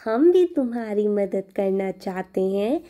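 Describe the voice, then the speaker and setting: A woman voicing a caring swan in an animated children's fable, speaking earnestly and firmly to a friend. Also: medium pitch, a little slow, lightly acted. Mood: exasperated.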